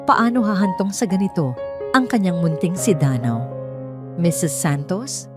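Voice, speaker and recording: A woman's voice: lowish voice, sing-song, moderate pace, over music. A woman narrating a scripted dramatic story in a solemn, emotive manner.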